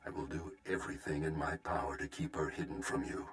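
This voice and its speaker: Deep, stern Jedi Master. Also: male voice, level tone. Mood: stern resolve. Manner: measured, formal, defiant. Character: stern, noble male Jedi Master, proud and protective. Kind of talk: video game voice acting.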